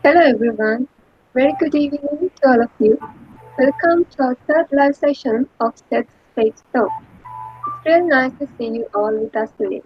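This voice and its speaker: A woman's voice: high voice, normal speed, slightly rough, dry recording, lo-fi sound, soft background music. A woman from Sri Lanka hosting a live talk with a polite, formal, scripted manner. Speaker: host.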